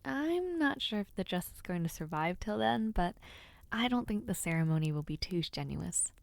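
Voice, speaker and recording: A woman voicing a playful, affectionate young noblewoman knight in an audio roleplay, speaking softly and teasingly and often dropping into whispers. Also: fairly low pitch, sing-song, moderate pace, creaky, dry recording. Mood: chatty, teasing. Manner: soft, teasing and intimate, drops to whispers.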